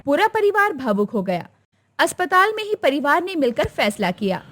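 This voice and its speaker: A woman narrates a scripted bedtime story. Her voice is high and animated, moving expressively through each sentence. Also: moderate pace.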